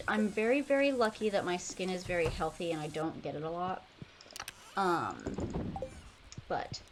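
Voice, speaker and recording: A woman's voice: medium pitch, noisy background. A young woman streaming a game, chatting casually in a loose, rambling way full of fillers. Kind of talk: casual chat while playing a game.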